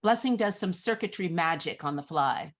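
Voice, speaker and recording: A woman reading screenplay directions as scripted narration in a measured, descriptive manner. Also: on the low side, animated.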